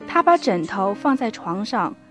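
A woman's voice: mid-range voice, big pitch swings, unhurried, soft background music. A woman reading scripted narration for a radio drama as its storyteller.

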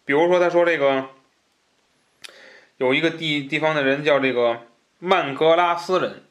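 A man's voice: fairly high pitch, normal speed, a bit bright. Casual man co-hosting a book discussion. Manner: colloquial, joking asides and exclamations. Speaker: podcast co-host leading a book discussion.

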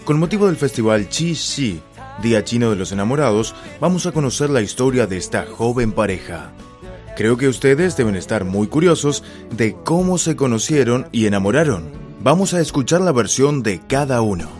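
A man's voice: mid-range voice, sing-song, moderate pace, darkish tone, soft background music. A man reading the scripted introduction to a feature program in a presenter's manner. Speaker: program narrator. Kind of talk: scripted narration.

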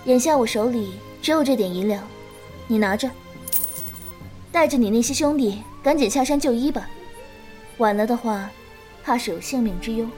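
A woman playing a calm, principled, protective elder-sister swordswoman in a martial-arts audio drama. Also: a little high, normal speed, long pauses, soft background music, natural voice. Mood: solemn. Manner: composed, coaxing, righteous lecturing.

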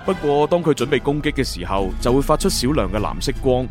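Man acting as confident protective special agent, animated intonation, sci-fi radio drama, light music underneath. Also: medium pitch, fast, lightly acted. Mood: explaining, serious. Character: confident young male time-travelling special agent, protective.

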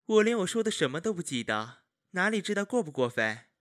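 A man playing a sharp-tongued, articulate confidant of an imperial official in a Chinese costume audio drama. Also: high-pitched, very animated, fairly quick. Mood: wry teasing. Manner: wry, articulate, needling.

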